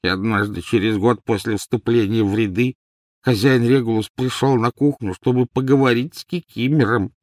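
A man voicing an old male house elf servant from a storybook, servile and anguished, with a croaking, wheezy, halting delivery. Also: medium pitch, fairly even intonation, no room sound, studio quality, lightly acted. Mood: mournful reverence. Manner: wailing, halting, drawn-out slow speech, speaks of self in third person.

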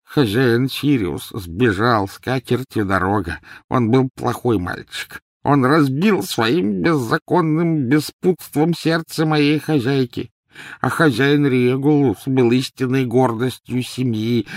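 A man voicing an old male house elf servant from a storybook, servile and anguished, with a croaking, wheezy, halting delivery. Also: mid-range voice, dry recording, clean studio sound, pitched above the performer's own voice. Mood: mournful reverence. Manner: wailing, halting, drawn-out slow speech, speaks of self in third person.

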